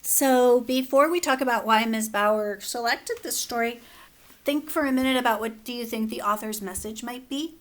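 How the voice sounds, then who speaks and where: A woman teacher reads a children's story aloud in a clear, steady voice, then explains its lesson with a few casual fillers. Her pitch sits slightly high. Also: normal speed.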